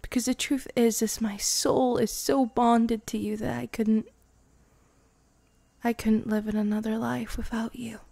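A woman voicing a devoted vampire girlfriend in an intimate ASMR roleplay, breathy and often slipping into a whisper. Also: a little high, flat delivery, no room sound. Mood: adoring. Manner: slow, intimate, hushed, sighing. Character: devoted, lovestruck vampire bride, tipsy and intimate.

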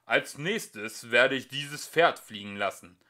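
A man's voice: medium pitch, lively intonation, takes it slowly, sharp tone. A man voicing a foolish, short-tempered king in a storybook, commanding and pompous in manner. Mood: grandly commanding.

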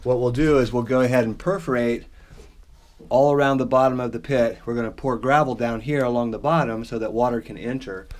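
A man's voice: mid-range voice, steady tone, a little fast, a little mellow. Practical contractor man explaining on site. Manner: plain, practical explaining while working. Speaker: drainage contractor. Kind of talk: on-site how-to walkthrough. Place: dug-out basement crawl space. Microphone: head-mounted action camera.

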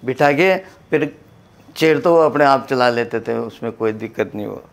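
A man's voice: mid-range voice, normal speed, vocal fry. A middle-aged man, a longtime caretaker, explaining his daily duties in a simple, matter-of-fact way. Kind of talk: casual explaining. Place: bedroom of a house, indoors.

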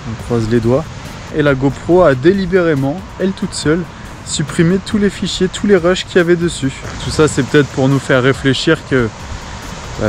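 A man's voice: mid-range voice, background noise. A man, talking casually as a travel vlogger. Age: 20s. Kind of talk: casual travel vlog talk and narration.

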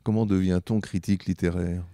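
An older man hosting a literary interview, asking measured, formal questions on a handheld mic on a stage indoors. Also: low voice, steady tone, warm tone, no echo, clean studio sound. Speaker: interviewer host. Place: cultural institute stage, indoors.

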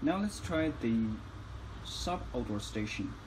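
A man's voice: mid-range voice, big pitch swings, slow pace, background noise. A man giving instructional tutorial narration as a product demonstrator, phrasing each step carefully.

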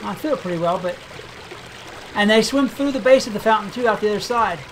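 A game video creator giving casual gameplay commentary, thinking aloud in a relaxed, chatty way. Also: mid-range voice, brisk, background noise. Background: game music.

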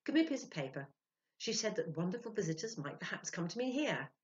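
Storybook husband, acted by a woman: impatient, emphatic, self-important, wide swings of pitch. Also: big pitch swings, fast talker. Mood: excited. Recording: dull, lo-fi sound.

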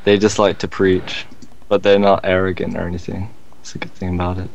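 A man's voice: sits low, flat delivery, a little fast, vocal fry, low-quality recording. A man in a casual group voice call, arguing back and pushing his own view, heard through a computer mic. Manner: argumentative, pushes back on others. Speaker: Skype call participant.

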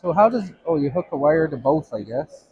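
A middle-aged man with a husky voice chatting casually as he shops for a vlog. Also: a little high, lively intonation, fairly quick, darkish tone, dull audio. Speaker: vlogger shopping at a swap meet. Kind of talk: casual chat. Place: large busy indoor swap meet hall.

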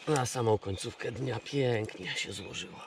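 A man in his thirties giving excited, murmured commentary to himself while fishing from a riverbank, recorded on an action camera. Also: mid-range voice, measured pace. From Poland. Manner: murmurs delighted praise half to himself, coaxing the fish. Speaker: angler vlogger. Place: outdoors on a riverbank. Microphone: action camera mic. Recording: outdoor camera audio with river and wind noise.

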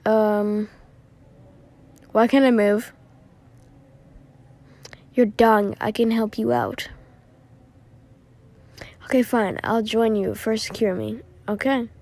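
High-pitched, slow amateur cartoon voice actress. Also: female voice, animated, darkish tone. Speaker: amateur voice actor dubbing all characters of an animated story. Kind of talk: amateur character voicing, scripted dialogue.

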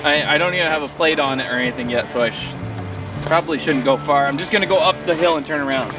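A man's voice: on the high side, fast talker, over loud music, rough recording. A young man hosting a motorcycle vlog, chatting casually and joking as he thinks aloud outdoors by a garage. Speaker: vlogger host. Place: outdoors by a garage and gravel driveway. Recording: outdoor camera audio with loud background noise.

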